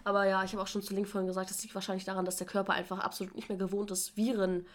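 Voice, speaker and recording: A woman in her twenties co-hosting a podcast, chatting casually and slangily while hoarse from a cold. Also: mid-range voice, level tone, very fast. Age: 20s. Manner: chatty, slangy, many fillers. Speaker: podcast co-host. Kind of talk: casual chat.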